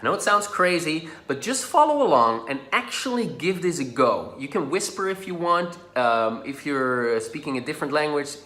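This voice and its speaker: A man's voice: fairly high pitch, animated. A man whose first language is Dutch, talking casually and encouragingly as a coach leading a guided tapping lesson. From Netherlands. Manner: casual, encouraging, drops to soft and slow when guiding. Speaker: social anxiety coach. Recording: echoey room.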